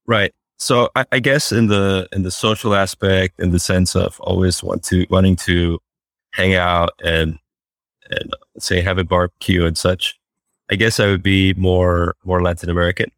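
Founder guy, real low flat voice, lots of uh and restarts. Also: no room sound. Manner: halting, many fillers and restarts. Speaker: guest, startup founder. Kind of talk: remote interview. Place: Fort Worth, Texas.

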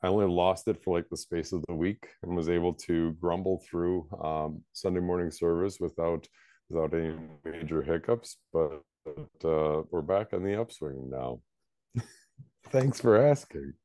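A man's voice: low voice, moderate pace, warm tone, no room sound. A man who is a pastor and book editor, co-hosting a podcast in casual conversation, chatty with lots of fillers. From United States. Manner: chatty, many fillers, laughs often.

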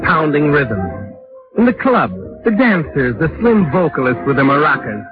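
An actor playing a sardonic, taunting narrator in dramatic 1940s radio mystery style. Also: male voice, mid-range voice, close to an everyday voice. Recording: dull vintage radio recording, music behind.

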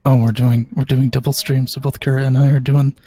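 A man's voice: medium pitch, level tone, a little fast, warm tone, slightly rough. A man chatting casually as a panelist on a podcast. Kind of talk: casual chat.

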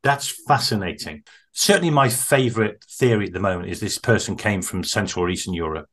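A man's voice: on the low side, expressive, brisk, fairly bright tone. Earnest man hosting a podcast. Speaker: podcast host and narrator. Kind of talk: interview and closing narration.

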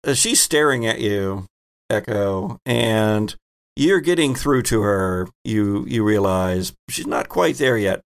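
Gravelly man running a tabletop game. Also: lowish voice, animated, slow. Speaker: host and game master. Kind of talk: narrating a tabletop roleplaying game.

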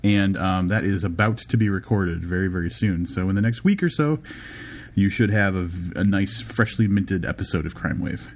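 Lowish-voiced guy joking around on a podcast, laughs a lot. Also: normal speed. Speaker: podcast co-host. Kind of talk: casual podcast chat.

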